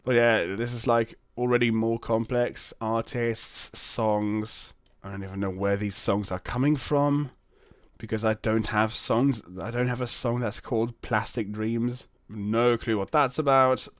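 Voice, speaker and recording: A man hosting a casual, unscripted software demo video, thinking aloud in hesitant, stop-and-start phrases. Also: mid-range voice, steady tone, a little slow, dry recording, clean studio sound. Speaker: host of a Linux software video channel.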